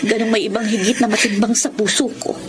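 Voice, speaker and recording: An actress playing a torn young woman who leaves one lover for another, in an emotional, pleading radio drama delivery over loud music. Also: on the high side, fairly even intonation, fast, close to an everyday voice. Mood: resolute. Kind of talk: 1980s Filipino radio drama acting.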